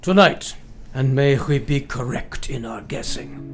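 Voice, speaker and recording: A man's voice: medium pitch, measured pace, gravelly, music in the background. A man acting a grave, protective older vampire-hunting scholar in a radio play, speaking in formal, old-fashioned phrasing with foreign-sounding grammar. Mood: solemn.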